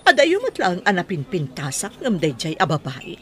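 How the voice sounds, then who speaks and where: A stubborn, scheming Filipino woman with an Ilocano accent. Her lowish voice swings widely in pitch, in radio drama style. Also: wildly swooping pitch, normal speed. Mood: defiant, scheming.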